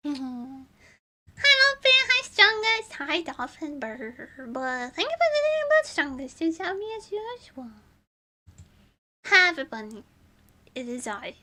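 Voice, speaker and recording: Very bright, high, strained young-sounding woman streamer. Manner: rambling, repeats phrases. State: strained from screaming and crying. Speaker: VTuber livestream host. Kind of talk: casual livestream chat greeting viewers.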